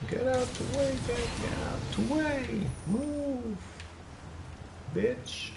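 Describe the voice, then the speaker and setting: Slow-talking Dutch man gaming. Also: extremely high pitch, gravelly, roomy sound, noisy background. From Netherlands. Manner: slow, loose, chatty with viewers, swears casually. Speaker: gaming streamer. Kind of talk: casual live commentary while playing.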